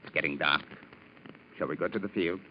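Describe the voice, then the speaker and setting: An actor playing a bitter, vengeful, menacing schemer in old radio drama, with a theatrical, sardonic, deliberate delivery. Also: male voice, very deep voice. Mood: bitter menace. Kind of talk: 1940s-50s radio drama acting. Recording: muffled, very noisy old radio recording.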